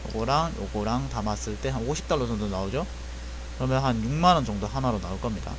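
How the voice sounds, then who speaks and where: Man, young adult, casual tutorial talk, rambling, self-correcting, lively pitch, faint background hum. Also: quick pace. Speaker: airsoft gun technician.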